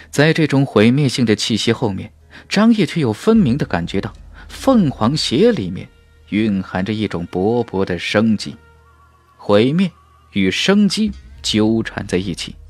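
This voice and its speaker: A man narrating a fantasy audiobook in a dramatic, scripted style, with measured, emphatic phrasing. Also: mid-range voice, dry recording. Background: faint music.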